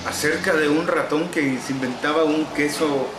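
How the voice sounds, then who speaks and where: A Salvadoran man in his sixties, a novelist, answers interview questions in an unhurried, wry way, often pausing on "eh". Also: fairly high pitch, steady tone, roomy sound, noisy background. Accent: Salvadoran Spanish. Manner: unhurried, wry, frequent "eh" pauses. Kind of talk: relaxed reminiscing interview answers.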